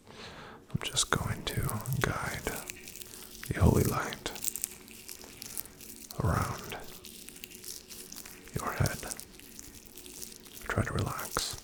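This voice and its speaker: A gentle paladin companion, played by a man, whispering softly and slowly to the listener. The hushed voice sits fairly low and carries heavy vocal fry. It is an intimate, unhurried ASMR roleplay delivery. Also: full character voice. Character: calm, careful male paladin travel companion.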